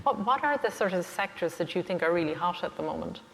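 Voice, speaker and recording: A woman's voice: fairly low pitch, animated, fast talker, fairly bright tone, in a large hall. A woman interviewing someone on stage in a chatty, conversational way, phrasing things tentatively.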